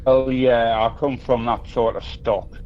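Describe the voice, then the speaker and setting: A man's voice: medium pitch, little pitch movement, measured pace, slight background noise. A man from Newcastle with a Geordie accent, calling in on his phone and chatting in a rambling, hesitant way. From Newcastle upon Tyne. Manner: rambling, hesitant, lots of ums. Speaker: caller. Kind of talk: casual chat. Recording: dull muffled phone mic.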